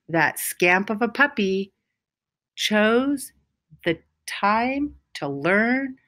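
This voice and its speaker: A woman reads a picture book aloud to children in a lively, swooping voice at a slow pace. Her phrasing is deliberate and expressive, leaning on key words, with a mid-range pitch. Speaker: school storyteller reading a picture book aloud. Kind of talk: children's storybook read-aloud.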